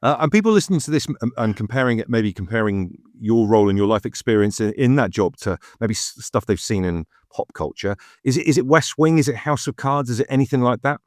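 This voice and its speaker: A middle-aged man hosting a podcast interview in a brisk, chatty way. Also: mid-range voice, animated, no echo, studio quality. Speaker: podcast host, radio DJ.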